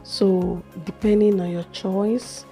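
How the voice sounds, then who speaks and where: A young woman with a slightly hoarse voice, chatting slowly through a hair care vlog in a small room. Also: mid-range voice, fairly even intonation, mellow, soft background music. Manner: slow, chatty explaining with fillers. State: a little hoarse from a cold. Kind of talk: casual how-to vlog.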